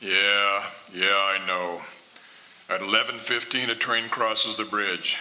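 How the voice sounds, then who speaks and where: Low, hard-edged hired killer played by a man. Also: level tone, slow, pushed and bright, heavily acted, rough recording. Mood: cold, businesslike. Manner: terse, reassuring, businesslike. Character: cold, matter-of-fact hired killer named George. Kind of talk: old-time radio drama acting.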